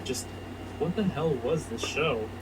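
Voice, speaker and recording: A man's voice: mid-range voice, animated, a little slow, over a noisy crowd. A man reading scripted narration for a countdown video, heard as played back. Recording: distant, noisy playback of a video.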